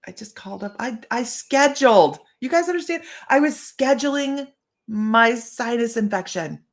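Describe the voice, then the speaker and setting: A woman's voice: fairly high pitch, animated, normal speed. A middle-aged woman hosting a live stream as a psychic medium, chatting to viewers and greeting them by name.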